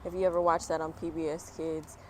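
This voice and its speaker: Low-pitched woman reading aloud outdoors. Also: flat delivery, fairly quick. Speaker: children's book read-aloud host. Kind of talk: picture book read-aloud with casual asides. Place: outdoors on a fall day. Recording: outdoor background noise, passing vehicles. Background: outdoor ambience, traffic.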